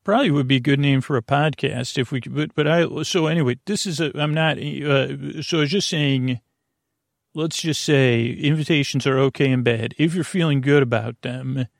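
Male bedtime podcast host, gravelly fry, dry close sound, rambling and self-interrupting delivery. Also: mid-range voice, moderate pace, no room sound. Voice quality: creaky, gravelly. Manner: meandering, self-interrupting, trailing off mid-thought.

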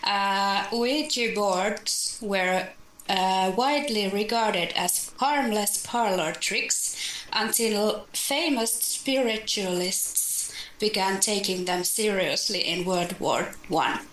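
A woman with a bright, crisp-edged voice reads trivia on a casual podcast at an unhurried pace. She is informal and a bit halting, recorded in an echoey room. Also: mid-range voice. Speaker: podcast co-host. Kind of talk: casual chat, reading out trivia. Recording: echoey room with faint noise and clicks.

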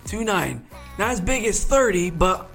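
Man, late twenties, podcast co-host, chatty and rambling, lots of fillers, slightly high voice. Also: lively intonation, a little slow, gravelly, a bit bright, soft background music. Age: 20s. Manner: chatty, rambling, laughs often, frequent fillers. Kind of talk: casual sports podcast chat.

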